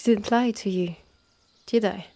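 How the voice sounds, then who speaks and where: Breathy, slow, French-accented protective healer played by a woman. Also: mid-range voice, mellow, dry recording. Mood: caring, wry. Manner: slow, soothing, breathy, often whispering. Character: calm, protective immortal healer, eldest sister of a witch. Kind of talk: ASMR roleplay.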